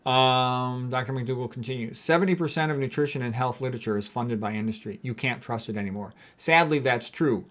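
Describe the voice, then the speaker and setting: A man's voice: mid-range voice, brisk, few pauses, fairly bright tone. A man giving an informal health talk as a presenter, explaining and commenting on quotes in a plain-spoken, colloquial way. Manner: plain-spoken, colloquial, often adding "okay" and "you know". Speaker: physician presenter of health talks.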